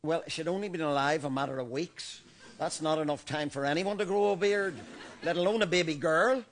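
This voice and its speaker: A man's voice: fairly high pitch, fairly quick, a little hiss or hum, close to an everyday voice. A man playing a paranoid old Belfast grandfather. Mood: deadpan. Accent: Northern Irish, Belfast. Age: older. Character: paranoid bigoted old grandfather, defensive and unhinged. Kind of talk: comedy sketch acting.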